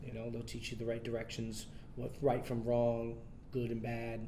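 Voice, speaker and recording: A man answers interview questions in a loose, relaxed way, stopping and restarting his sentences and leaning on fillers. His voice sits at an ordinary pitch. A faint low rumble runs under the recording. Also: moderate pace. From United States. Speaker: interview guest, son of a famous bandleader. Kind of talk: casual interview.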